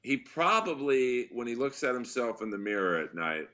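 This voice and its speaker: A man with a deep voice, a comedian guest telling wry, rambling stories in a casual podcast chat. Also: sing-song, normal speed, pushed and bright.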